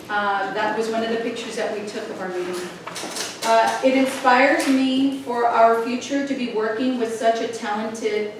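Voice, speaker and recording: A woman giving an informal executive report to a board, hesitant and often restarting her phrases. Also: on the high side. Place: indoor board meeting room. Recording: very echoey room, distant mic, faint noise.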